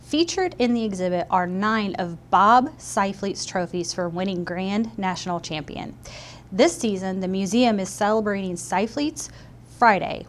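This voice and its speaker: A woman's voice: mid-range voice, slight background noise. A woman co-hosting a hobby news show, reading scripted announcements and bantering in an upbeat, chatty way. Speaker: show co-host.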